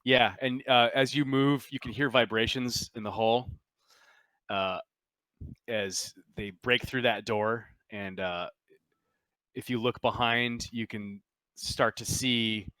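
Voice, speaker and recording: A man's voice: mid-range voice, steady tone, slow pace, bright, forceful tone, clear, resonant tone, no room sound. A man running a tabletop roleplaying game as game master, explaining rules and narrating scenes conversationally.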